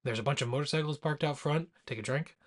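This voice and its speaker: A man's voice: a little high, fast. A man co-hosting a podcast, chatting casually about movies in a dry, teasing way. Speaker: podcast co-host.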